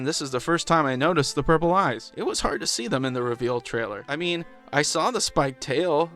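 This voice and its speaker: A man's voice: fairly high pitch, a bit bright. A man hosting a scripted video breakdown of a trailer, narrating in a playful way with jokey asides. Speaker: video host. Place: voice-over over background music. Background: music.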